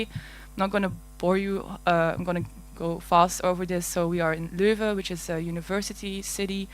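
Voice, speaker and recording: A woman's voice: mid-range voice, a bit bright. A woman from Belgium with a Flemish Dutch accent, giving a conference talk in a large hall, hesitant with many fillers and little laughs. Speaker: conference speaker. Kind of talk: conference presentation. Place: large darkened auditorium.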